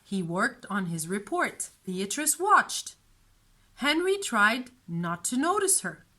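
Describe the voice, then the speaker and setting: A woman storyteller narrating a children's storybook in an expressive, deliberate way. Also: mid-range voice.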